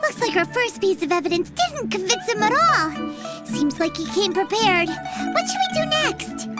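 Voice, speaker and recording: Brief: tiny fairy sidekick, extremely high childlike squeak, bouncy, blunt, fretful, game cutscene. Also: female voice, very animated, normal speed, over loud music. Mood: worried, grumbling. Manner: blunt, childlike, refers to self by name. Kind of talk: video game cutscene voice acting.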